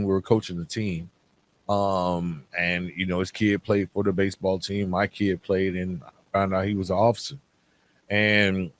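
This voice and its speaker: A man hosting a podcast, speaking in a reflective monologue with a measured, earnest delivery and dramatic pauses. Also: fairly low pitch. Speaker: podcast host.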